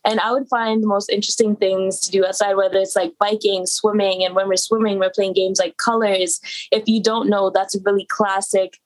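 A young woman from Toronto talks as a podcast guest. Her voice sits at a middling pitch, and her easygoing stories come with plenty of "um" and "like". She sounds relaxed and comfortable. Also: brisk, low-quality recording. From Toronto, Canada. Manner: relaxed, easygoing storytelling with fillers. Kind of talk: casual interview chat.

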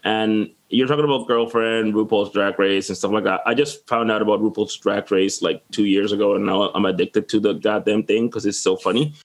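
Male podcast host from the Dominican Republic, low voice, loose rambling questions. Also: steady tone, brisk, few pauses. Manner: rambling, chatty questions with restarts. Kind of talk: casual interview chat.

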